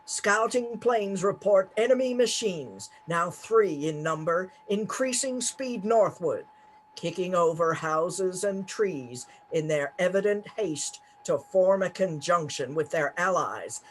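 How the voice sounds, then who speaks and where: A man's voice: sits high, expressive, slow, a little hiss or hum, poor audio. A man playing an urgent, formal news announcer reading bulletins in a 1930s-style radio play. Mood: grave, urgent.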